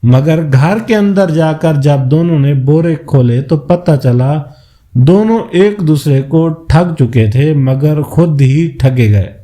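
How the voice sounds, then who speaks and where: Guy reading a moral folk tale, slow and mellow, kinda higher voice. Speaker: storyteller.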